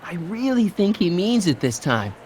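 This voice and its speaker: American teen boy, high pitch, lively swings, earnest, casual, pleading, game dialogue. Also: moderate pace, slight background noise. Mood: reassuring. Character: earnest, well-meaning teenage boy, peacemaker. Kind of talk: video game voice acting.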